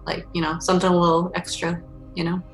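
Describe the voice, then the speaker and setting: A woman's voice: on the low side, completely flat delivery, fast, soft background music, poor audio. A woman playing a tabletop roleplaying game, chatting casually as her character.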